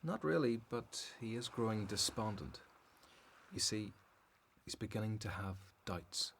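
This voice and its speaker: An actor playing a sombre, loyal messenger in a radio Bible drama, speaking gravely and earnestly. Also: male voice, fairly low pitch, lively intonation, unhurried, a bit bright, slight background noise, heavily acted. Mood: grave, hopeful.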